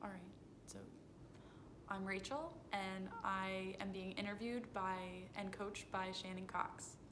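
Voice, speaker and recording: A woman's voice: fairly even intonation, slow. A woman in her twenties giving earnest, explanatory, rehearsed answers as an interviewee. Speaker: interviewee, foundation communications director. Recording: phone video with noisy low rumble.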